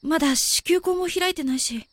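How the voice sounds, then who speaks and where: A woman's voice: extremely high pitch, moderate pace, no room sound. A woman playing an anxious but capable young medical student in a Japanese amateur voice drama. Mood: calm, serious.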